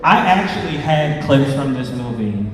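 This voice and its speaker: A man's voice: mid-range voice, animated, unhurried, over music. A man hosting a casual fan panel, talking informally to the room as the host. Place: large convention panel room. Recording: echoey hall, distant from the mic.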